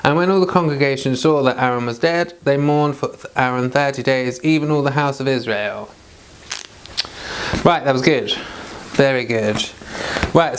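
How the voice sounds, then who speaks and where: A man with a clear voice, reading scripture aloud with informal asides. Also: medium pitch. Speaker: Bible reader and commentator.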